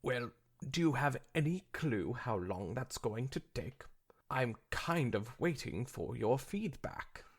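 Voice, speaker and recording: A man's voice: medium pitch, expressive, slow pace, breathy edge, pitched above the performer's own voice, heavily acted. A man voicing an impatient, skeptical, brash young female pegasus pony with dry, pointed questions.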